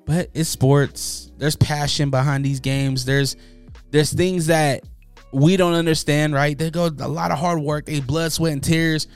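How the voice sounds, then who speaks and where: A man's voice: fairly high pitch, a little slow, clear, resonant tone. Brief: man, casual commentary host, slangy and joking, faint music underneath. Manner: animated, joking, slangy, mocking voices in quotes.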